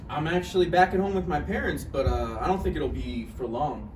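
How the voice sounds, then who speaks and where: A man's voice: fairly high pitch, fairly quick, natural voice. A man playing Roger, an awkward, needy struggling writer still hung up on his ex, in a hesitant, conversational live table read of a play. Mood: awkward, friendly. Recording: roomy, distant mic, noisy live recording.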